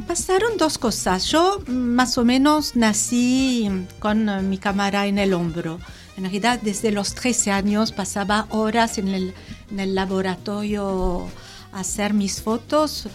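A woman's voice: medium pitch, takes it slowly, soft background music. A woman in her sixties with a French accent, speaking Spanish as an interview guest, hesitant and full of fillers and restarts. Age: 60s. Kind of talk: casual conversational interview.

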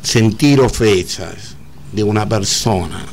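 Halting middle-aged Argentine man. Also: on the low side, creaky, darkish tone, a little hiss or hum. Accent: Argentine Spanish. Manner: halting, repeats words, earnest. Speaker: interview guest. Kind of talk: emotional conversational interview.

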